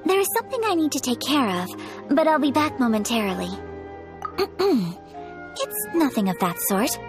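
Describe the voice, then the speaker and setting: An actress playing a polite, gentle young noblewoman in a video game, speaking formally and softly. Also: high-pitched, big pitch swings, normal speed. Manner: polite, formal, soft-spoken. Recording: game audio with faint music.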